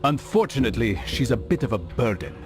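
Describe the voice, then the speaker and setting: A man's voice: mid-range voice, big pitch swings, brisk, music in the background, natural voice. Stern, dry-witted assassin acted by a man. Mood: dry, sardonic. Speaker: video game character. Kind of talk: scripted game cutscene acting.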